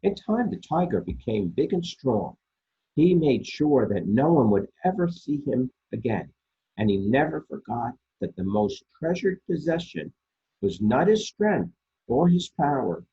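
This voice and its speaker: A man reading children's picture books aloud as a storytime host, expressively and deliberately, with dramatic pauses and a husky voice. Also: mid-range voice, very animated, warm tone, highs cut off. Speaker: storytime reader for a library.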